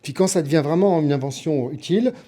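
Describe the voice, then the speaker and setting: A man's voice: a little high, fast talker, warm tone. A middle-aged man answering as an interview guest in a conversational, explanatory way, often listing and repeating to build his point. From born in Milan, Italy. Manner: explanatory, builds points through lists and repetition. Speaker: interview guest, TV producer and writer.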